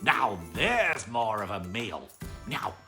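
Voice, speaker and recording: Woman voicing a huge, taunting, deep storybook troll. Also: big pitch swings, pushed and bright, soft background music, heavily acted. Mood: taunting, menacing. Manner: taunting, emphatic, deliberate. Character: huge hulking male troll, blunt, hungry and teasing.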